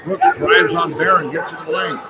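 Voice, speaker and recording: A man's voice: on the high side, normal speed. A man doing live radio play-by-play of a basketball game in clipped running phrases, with punchy exclamations when shots score. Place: courtside in a large indoor basketball arena. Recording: very loud arena crowd and noise behind. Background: roaring crowd, arena noise.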